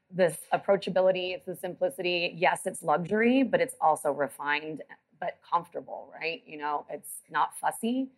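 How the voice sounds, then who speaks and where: A woman restaurant manager speaking casually and self-deprecatingly on a live panel. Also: lowish voice.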